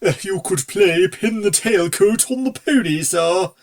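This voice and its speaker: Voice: medium pitch, expressive, a little slow, pitched above the performer's own voice, close to an everyday voice. A reader voicing a fussy, servile male pony butler in a storybook, formal, hesitant and deferential. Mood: sheepish. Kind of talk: storybook character dialogue.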